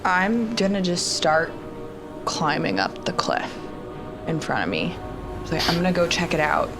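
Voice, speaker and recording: A woman with a lowish voice plays a tabletop role-playing game. She talks casually and uses plenty of slang. Music runs clearly behind her. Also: very animated, a little slow. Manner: informal, slangy. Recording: background music under the voice.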